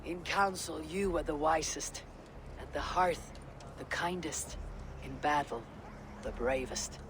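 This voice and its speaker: Steadfast Viking fighter, reverent and formal, voice a touch high, video game drama, clear background noise. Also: male voice, slow. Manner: grave, terse, formal. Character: loyal, reverent Viking warrior, grave and steady.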